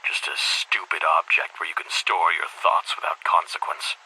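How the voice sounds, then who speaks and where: A brooding older headmaster recording a game audio log. His voice is low, with a heavy breathy rasp and a very sharp, tense edge. He tells things deliberately, weary and cynical, like someone confiding in a tape recorder. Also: level tone, full character voice, poor audio. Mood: grave, reflective. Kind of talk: dramatic monologue, video game audio log.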